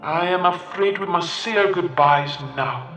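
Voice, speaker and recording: A man playing a gentle, burdened young knight under a fairy spell, speaking softly and formally. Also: high-pitched, a little slow. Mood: grave. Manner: soft, grave, formal old-fashioned speech. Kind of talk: storytelling. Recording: roomy, distant mic with music.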